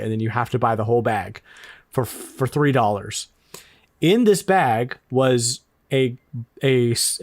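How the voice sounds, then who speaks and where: Male podcast co-host, casual and excitable, mid-pitched, dry close-mic sound. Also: no room sound. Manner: enthusiastic, laughs often, repeats words. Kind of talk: casual chat.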